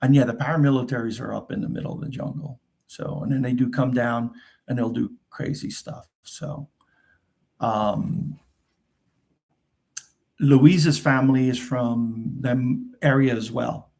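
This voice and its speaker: A middle-aged man with a New Jersey accent hosts a live Q&A in a gravelly, creaky voice. He rambles and chats, circling back and restarting his sentences. Portuguese is his first language, and his pitch sits at a middle level for a man. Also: fairly even intonation, a little slow, darkish tone. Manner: rambling, chatty, frequent fillers and restarts. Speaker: host. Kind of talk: casual live Q&A chat.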